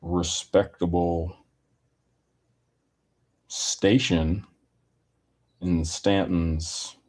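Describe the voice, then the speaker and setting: A man giving a rambling personal opinion monologue in a deliberate, halting manner with wordy, formal phrasing. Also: low-pitched, flat delivery, a little mellow.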